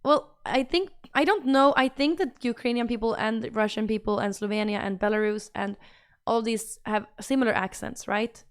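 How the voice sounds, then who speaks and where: A young Swedish-accented woman streaming from home, chatting loosely with her viewers. Her voice sits a little on the high side, and she repeats phrases as she reacts to chat. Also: normal speed, clean tone. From Sweden. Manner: chatty, bubbly, repeats herself while reading chat. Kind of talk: casual livestream chat reading messages.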